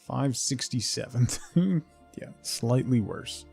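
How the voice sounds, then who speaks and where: Gravelly-voiced man chatting on a game stream. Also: medium pitch, expressive, a little mellow, faint music behind. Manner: rambling, halting, thinking aloud. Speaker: game livestream host. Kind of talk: casual chat while playing, answering viewers.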